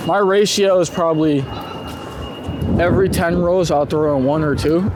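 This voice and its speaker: A man chatting casually and excitably in slangy speech while out walking as a street photographer. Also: on the high side. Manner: excitable, slangy, quick to gush. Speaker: street photographer being profiled. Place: city street, outdoors. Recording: outdoor street noise, passing traffic. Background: urban ambience and vehicles.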